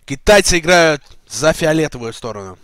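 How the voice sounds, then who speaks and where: A man giving esports play-by-play commentary, brisk and listing picks rapidly. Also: a little high, expressive, a bit bright, dry recording, clean studio sound.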